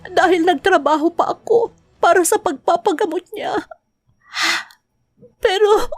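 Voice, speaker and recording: A woman playing a grieving mother working abroad, speaking with slow, heartfelt dramatic delivery. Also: very high voice, a little mellow. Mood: despairing. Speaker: overseas domestic worker. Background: faint guitar music.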